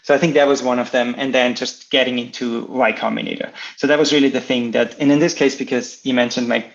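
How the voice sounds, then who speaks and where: Austrian accented guy, native german speaker, bit of vocal fry, chatting as interview guest, slightly muffled lo-fi sound. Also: medium pitch, steady tone, quick pace. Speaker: interview guest, startup co-founder. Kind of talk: casual explanatory interview talk.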